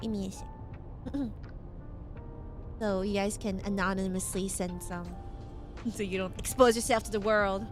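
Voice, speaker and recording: Hoarse, giggly woman streamer, slow talk. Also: medium pitch, steady tone, soft background music. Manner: giggly, rambling, playful. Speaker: VTuber streamer. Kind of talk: casual chatting stream.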